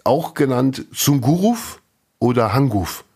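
Husky man telling spooky tales. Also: medium pitch, slow. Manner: relaxed storytelling with many fillers. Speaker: podcast co-host. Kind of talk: casual chat.

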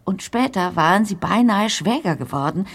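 Older female gossip, animated, emphatic know-it-all, radio play. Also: mid-range voice, moderate pace, breathy edge. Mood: chatty, gossipy. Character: chatty, gossipy older small-town woman, know-it-all. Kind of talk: audio drama acting.

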